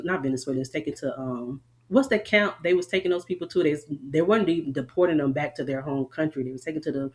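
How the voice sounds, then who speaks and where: A woman's voice: deep voice, a little mellow. Middle-aged woman, Southern US accent, candid veteran interviewee who rambles. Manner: rambling, frank, laughs often. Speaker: army veteran guest.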